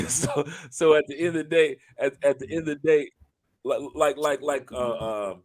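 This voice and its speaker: A man's voice: a little high, steady tone, moderate pace. A man in his fifties chatting casually on a video call, rambling with frequent restarts. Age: 50s. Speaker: guest coach. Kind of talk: casual podcast chat.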